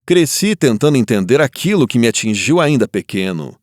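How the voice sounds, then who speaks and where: A man acting as a grieving war survivor tells his story in dramatic first-person narration. His mid-range voice moves expressively, with solemn, reflective pauses, in a dry, close recording. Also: mid-range voice, big pitch swings, a little mellow, no echo, natural voice. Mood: somber, grieving. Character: grieving Japanese survivor of the Nagasaki bomb, looking back.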